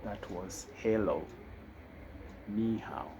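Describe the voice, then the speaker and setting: A man teaching a casual spoken lesson, talking slowly and thinking aloud with fillers. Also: fairly low pitch, warm tone, breathy edge. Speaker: language teacher.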